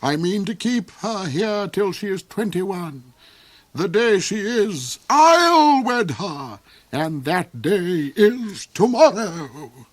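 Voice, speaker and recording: A man voicing a cold, cruel duke in a storybook reading, with shrill, theatrical outbursts. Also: big pitch swings, slow, full character voice. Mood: brooding.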